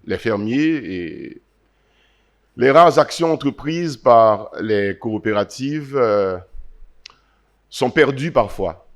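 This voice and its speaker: A man from Haiti, a native French speaker with a Haitian French accent, speaking on a panel, earnest and halting. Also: medium pitch, animated. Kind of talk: panel discussion answer.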